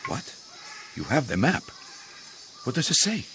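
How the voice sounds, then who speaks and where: An actor playing an impatient, proud rebel leader with a curt, commanding manner in a radio drama. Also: male voice, medium pitch, big pitch swings, unhurried, background noise. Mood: weary, demanding. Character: impatient, proud male rebel leader marching through jungle. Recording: clear insect and jungle ambience.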